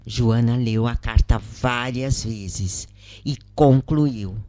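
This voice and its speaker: A narrator with a Brazilian accent, reading a first-person story aloud in a measured, deliberate way with pauses between phrases. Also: medium pitch, dark tone, no room sound. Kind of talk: scripted narration, audiobook-style reading of a first-person spiritist account.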